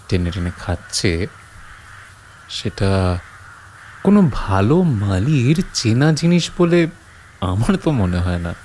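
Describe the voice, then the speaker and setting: A man's voice: lowish voice, a little mellow, a little hiss or hum. A man voicing a brooding horror writer who muses dramatically on the uncanny in an audio drama. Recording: long reverb, music underneath.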